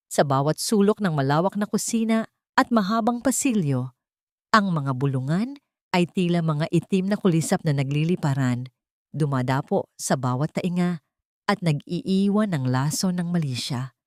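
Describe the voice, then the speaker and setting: A woman's voice: lowish voice, no room sound, studio quality. A woman narrator reading a scripted, dramatic story as an audio drama.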